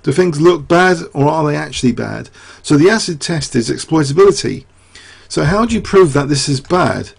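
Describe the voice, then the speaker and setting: Chess commentator, male, fairly high voice with a rough, raspy edge, thinking aloud, losing his voice. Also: animated, moderate pace. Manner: rambling, thinking aloud with many fillers and rhetorical questions. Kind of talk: chess game analysis.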